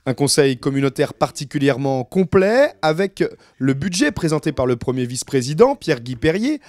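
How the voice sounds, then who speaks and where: A man's voice: fairly high pitch, very animated, a little fast, a little mellow, no echo. A man reading scripted news narration as a reporter.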